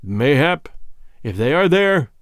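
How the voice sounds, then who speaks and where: Storybook warrior king, played by a man: imperious, stern, archaic, measured pace, dry recording. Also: fairly high pitch, big pitch swings, no echo.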